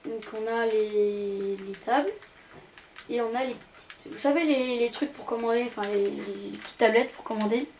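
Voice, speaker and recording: High-pitched young woman, hesitant. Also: moderate pace, a little mellow, poor audio. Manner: hesitant, rambling, informal. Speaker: gaming channel host. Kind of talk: casual game tour commentary. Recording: amateur home recording with background noise.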